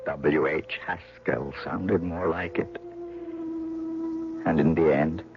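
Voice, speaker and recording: Slow, gravelly old man. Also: a bass voice, exaggerated voice. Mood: wistful resignation. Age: older. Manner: slow, halting, wistful. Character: weary old male painter haunted and near death. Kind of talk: 1970s radio drama acting. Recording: muffled, noisy old radio recording.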